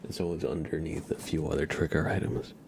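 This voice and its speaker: A man hosting an ASMR livestream, chatting casually in a hushed voice that often slips into whispers. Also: a bass voice, fairly even intonation, fairly quick, vocal fry. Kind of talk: casual soft-spoken ASMR stream chat.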